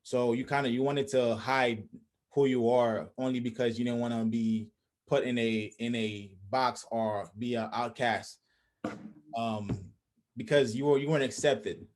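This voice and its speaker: A man's voice: mid-range voice, fairly even intonation, measured pace, a little mellow. A young Haitian man hosting a casual video-call conversation, speaking reflectively with many restarts and fillers from a small room. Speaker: host. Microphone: video call webcam.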